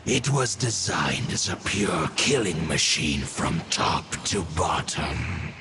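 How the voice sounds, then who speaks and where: Deep slow wolf god, proud n old-fashioned talk, loud music under. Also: male voice, heavy background noise. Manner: archaic, formal, grave. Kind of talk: video game voice acting. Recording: game audio with loud music.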